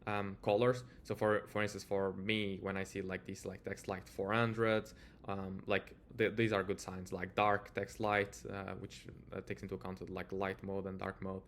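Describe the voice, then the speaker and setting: A man's voice: low voice, flat delivery, normal speed, fairly bright tone, slight background noise. A man with a Portuguese accent giving a casual screen-share tutorial, speaking informally and hesitantly with lots of filler words. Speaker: software developer presenting a tutorial.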